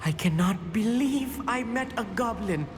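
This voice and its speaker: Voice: medium pitch, expressive, a little slow, soft background music, close to an everyday voice. An actor voicing a studious, awed young male student in a video game, speaking in an articulate, marvelling way. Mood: awed, curious. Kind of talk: video game character dialogue. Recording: long hall reverb.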